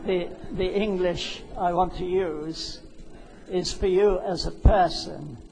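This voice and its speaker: A man's voice: high voice, steady tone, slow pace, vocal fry, darkish tone, a little hiss or hum. A man from the audience asking a long question with wandering, hesitant phrasing. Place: large hall. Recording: long reverb in a hall.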